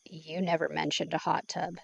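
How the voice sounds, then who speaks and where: An actress playing a curious, teasing young woman with a husky, gravelly voice in an ASMR audio drama, speaking close to the mic. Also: sits low, little pitch movement, moderate pace. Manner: teasing, playful, drawling.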